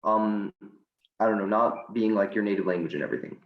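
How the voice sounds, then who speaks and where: Low-voiced teen debater, echoey. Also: brisk, dull audio. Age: teens. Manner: casual, many "like" fillers. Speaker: high school debater. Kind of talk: debate crossfire questioning.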